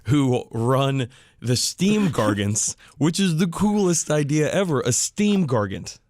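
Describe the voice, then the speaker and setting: A man's voice: fairly high pitch, very animated, slow, darkish tone, dry recording, clean studio sound. A man hosting a podcast, explaining things in a casual, enthusiastic hobbyist way. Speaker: podcast host.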